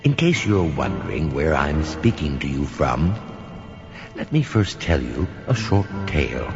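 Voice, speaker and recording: Sinister old male storyteller, low and slow, swinging dramatically, spooky Halloween style, music behind, muffled sound. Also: very animated, exaggerated voice. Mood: creepy, inviting. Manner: slow, dramatic, whispers and outbursts. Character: sinister old storyteller welcoming listeners into his home. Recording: dull lo-fi recording.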